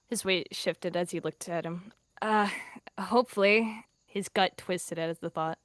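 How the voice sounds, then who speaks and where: A woman improvises story narration in a roleplay, feeling her way through the phrases. Her voice is slightly low and very dry. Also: little pitch movement, moderate pace, dry recording.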